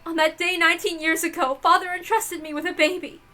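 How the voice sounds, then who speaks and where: Anguished mother, high and hysterical. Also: little pitch movement, brisk, sharp tone, faint music behind. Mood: anguished confession. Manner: sobbing, dramatic outbursts. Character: anguished married mother confessing her crime in hysterical grief. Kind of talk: dramatic visual novel reading.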